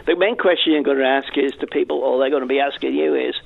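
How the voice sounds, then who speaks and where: A man's voice: mid-range voice, fairly even intonation, fast talker, creaky. A man calling a radio phone-in, chatty and joking. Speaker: caller. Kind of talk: phone-in call, casual chat. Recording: dry tinny phone line.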